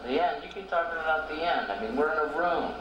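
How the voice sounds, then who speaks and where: A puzzled, ordinary dead man played by a male amateur rambles hesitantly in a drama scene. His voice sits away from the mic in heavy echo, with clear background noise. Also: on the high side, quick pace, dull audio, close to an everyday voice. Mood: musing. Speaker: amateur actor in a hobby film. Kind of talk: casual amateur drama dialogue. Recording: echoey, distant, hissy homemade recording.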